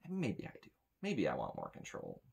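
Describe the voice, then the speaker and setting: Low, creaky-voiced American man, grumbling. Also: wildly swooping pitch, moderate pace, a little mellow, slight background noise. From United States. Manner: grumbling, blunt. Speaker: sound tech reviewing audio gear. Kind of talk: casual, rambling product review to camera. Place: small indoor room with metal shelving.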